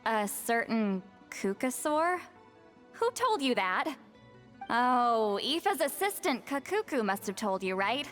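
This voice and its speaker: A woman voicing a composed, scholarly character in a video game, measured, thoughtful and gently firm. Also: a little high, sing-song, soft background music, exaggerated voice.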